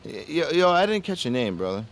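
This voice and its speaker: An actor playing a laid-back, evasive young party drug dealer who talks in stoner slang, in a naturalistic audio drama. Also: male voice, fairly high pitch, sing-song, normal speed. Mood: wary, gossipy. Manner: slangy stoner talk, peppered with bro and man. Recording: faint ambient background noise.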